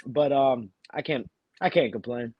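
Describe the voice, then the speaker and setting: A fighter from Texas, talking casually as an interview guest while nursing a cold, with many fillers and restarts. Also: male voice, medium pitch, unhurried, slightly rough, dry recording, lo-fi sound. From Texas, USA. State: has a cold. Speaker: bare-knuckle fighter, guest.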